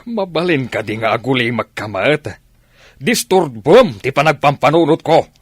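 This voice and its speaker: A man's voice: mid-range voice, sing-song, moderate pace, breathy edge, dry recording. A man with an Ilocano accent playing an irritable, evasive philandering husband in a radio drama. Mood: annoyed, defensive.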